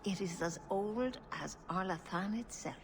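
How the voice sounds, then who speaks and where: An actress playing a calm, stern yet caring older elven clan leader in a fantasy game, speaking formally. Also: female voice, mid-range voice, background noise, natural voice. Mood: grave, measured. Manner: measured, formal. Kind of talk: fantasy video game voice acting.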